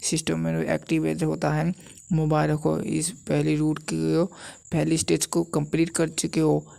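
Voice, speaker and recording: Low, steady, gravelly woman narrator. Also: unhurried. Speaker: anime and manhwa recap narrator. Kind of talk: storytelling recap narration, voicing dialogue in own voice.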